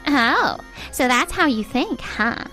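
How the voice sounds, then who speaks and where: Haughty, high-pitched young woman, acted by a woman. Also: expressive, takes it slowly, pushed and bright, faint music behind, heavily acted, poor audio. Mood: smug. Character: haughty young woman saleswoman, spoiled executive's daughter. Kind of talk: animated manga dub voice acting.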